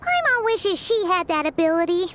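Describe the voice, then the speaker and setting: A woman voicing a tiny, excitable female fairy companion who chirps along and calls herself by name. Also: extremely high pitch, moderate pace, heavily acted. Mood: chatty. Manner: chirpy, refers to herself in third person. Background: game music.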